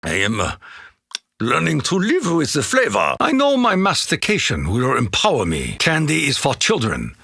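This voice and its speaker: A very raspy, creaky-voiced Japanese warrior for a video game. He speaks accented English in a grave, formal, proud way, as one bound by honor. His pitch swings widely for such a solemn man. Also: wildly swooping pitch, normal speed, sharp tone, no echo, studio quality, exaggerated voice. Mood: wary, puzzled. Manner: formal, grave, stilted, proverb-laden. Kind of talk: video game character voice acting.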